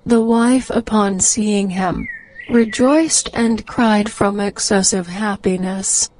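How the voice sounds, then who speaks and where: A woman's voice: mid-range voice, steady tone, slow, darkish tone, rough recording. A woman narrating a scripted fairy tale as a storyteller.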